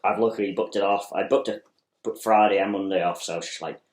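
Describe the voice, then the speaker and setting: Young man, deep and roomy. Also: fast. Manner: relaxed, laid-back questioning. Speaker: podcast host. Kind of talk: casual chat. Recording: roomy echo.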